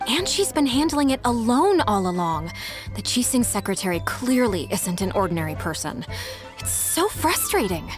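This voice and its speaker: A woman's voice: a little high, big pitch swings, moderate pace, music in the background. A woman voicing a frustrated, blunt, overworked secretary in a video game, with a complaining manner.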